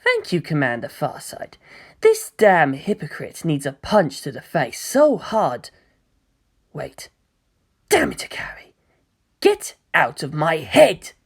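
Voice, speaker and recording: A woman's voice: low voice, wildly swooping pitch, slow pace, vocal fry, breathy edge, heavily acted. Young male hero, read by a woman: exasperated, pleading, emphatic, very varied intonation, audiobook drama. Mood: irritated. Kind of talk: dramatic audiobook character reading.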